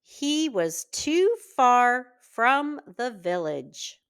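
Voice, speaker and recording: A woman reads a children's tale as a teacher would for beginning readers. Her voice is fairly high and very smooth and clear, and she phrases everything very slowly, word by word. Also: big pitch swings, a bit bright. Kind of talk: slow read-aloud children's storytelling.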